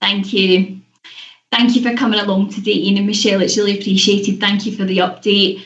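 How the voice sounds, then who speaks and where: A woman's voice: mid-range voice, flat delivery, a little fast. A woman chairing a formal committee meeting, moving business along and addressing those present. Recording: echoey, muffled video-call audio.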